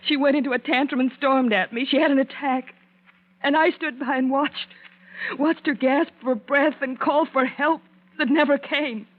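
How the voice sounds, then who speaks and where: An actress playing a scheming, possessive, suspicious wife in a 1940s radio drama, cold and threatening. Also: female voice, high voice, fairly even intonation, moderate pace, natural voice. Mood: intense, threatening. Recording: tinny old 1940s radio broadcast.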